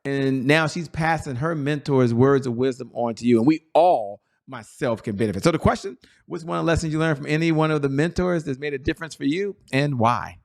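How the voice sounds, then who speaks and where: A man's voice: fairly high pitch, fairly quick, creaky, hardly any pauses. A middle-aged man hosting a business talk show, asking long, enthusiastic questions and speaking directly to his audience. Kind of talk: interview host chat.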